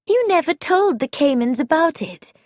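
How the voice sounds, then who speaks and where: A woman reading a story, voicing an eager, quick-witted young woman sleuth in a higher, livelier voice. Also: no room sound, clean studio sound. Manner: excited, insistent, animated.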